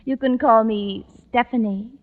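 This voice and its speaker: A woman's voice: on the high side, fairly even intonation, a little slow, big-room sound, background noise, lightly acted. Flirtatious glamour journalist, female actor, cooing and falsely polite; 1940s radio comedy, dull vintage recording. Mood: coy, charming. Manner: coy, cooing, affected politeness. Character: glamorous, flirtatious woman magazine journalist, smooth and scheming. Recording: muffled old radio broadcast.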